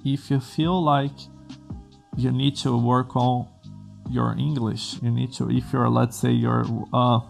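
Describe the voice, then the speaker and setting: Gravelly Brazilian man giving career advice. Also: medium pitch, little pitch movement, slow pace, soft background music. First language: Portuguese. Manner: earnest, explains in plain steps with restarts. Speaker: coding school host. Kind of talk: advice vlog to camera.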